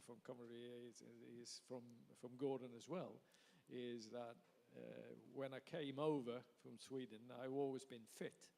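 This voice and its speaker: A former footballer guest speaks softly on stage, hesitating a little between thoughts. His mid-pitched voice is full of gravelly fry. The long reverb of the hall surrounds him. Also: fairly even intonation, moderate pace, few pauses. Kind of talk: stage interview. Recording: echoey hall.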